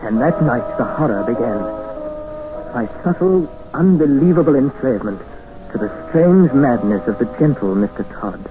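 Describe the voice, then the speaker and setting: A man's voice: mid-range voice, animated, moderate pace, loud music behind the voice, lightly acted. An actor playing a polite, uneasy male traveller in a 1950s radio drama, tentative and questioning. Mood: grave narration. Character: courteous, uneasy male traveller stranded in the jungle. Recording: muffled, hissy 1950s radio recording.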